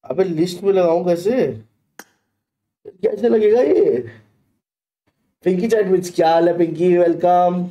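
A man's voice: sits high, measured pace, pauses often, dark tone. A man in his thirties with an Indian accent, chatting casually as he livestreams a game. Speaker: gaming streamer. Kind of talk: casual livestream chat while setting up a game. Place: indoor streaming setup.

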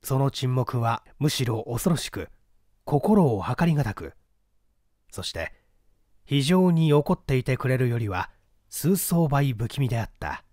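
Animated male audiobook narrator, dry sound. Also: mid-range voice, a little slow, dry recording. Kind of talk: scripted literary narration.

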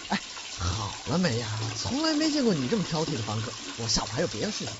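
Wheedling, evasive landlord played by a man, swooping pitch. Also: wildly swooping pitch, quick pace, very noisy. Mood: impatient, flustered. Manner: flustered, hedging, wheedling. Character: evasive, tight-fisted, whining landlord. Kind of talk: Chinese audio drama acting. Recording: muffled recording with music behind.

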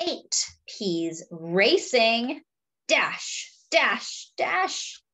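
A young woman reads a picture book aloud for little children. Her voice sits fairly high and swings widely in pitch. She goes very slowly, with a deliberate sing-song delivery made for young listeners. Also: big pitch swings, bright, forceful tone. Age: young adult. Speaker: children's story reader.

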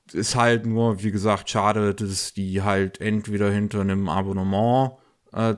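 A man co-hosting a podcast, talking casually and haltingly, slightly congested from a cold. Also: low voice. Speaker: podcast co-host. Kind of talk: casual news podcast talk.

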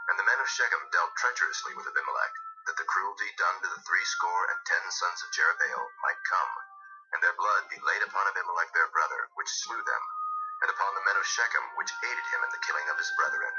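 A man narrating dramatized scripture in a solemn, measured storytelling manner. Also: deep voice. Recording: dull lo-fi audio. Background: music.

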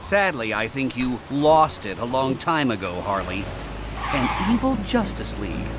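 A smug riddling villain. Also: male voice, medium pitch, big pitch swings, measured pace. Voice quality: smug, theatrical. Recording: game audio with music. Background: music.

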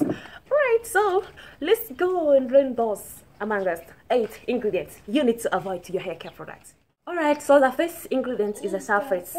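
A young woman from Tanzania with a Tanzanian accent, Swahili her first language, talking to camera about hair care in careful, halting English with many restarts. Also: mid-range voice, slight background noise. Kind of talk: casual talk to camera. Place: indoors, plain plastered wall.

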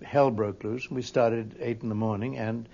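Man, middle pitch, interviewee, conversational memories, dull recording. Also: steady tone, normal speed, warm tone. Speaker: interviewee recalling colleagues. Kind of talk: conversational reminiscence.

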